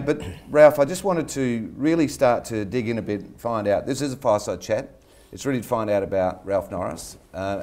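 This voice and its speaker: Host: older man, low-ish voice, chatty and anecdotal. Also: darkish tone. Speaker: interview host. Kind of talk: talk show interview.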